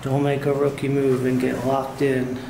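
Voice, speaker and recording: A man talks through his walk around a large, empty jail building, in a flat, even tone with little rise and fall. His voice comes through a camera microphone with a steady hiss of background noise behind him. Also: moderate pace. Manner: low-key, conversational, pointing things out. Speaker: urban explorer host. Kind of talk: casual walkthrough narration.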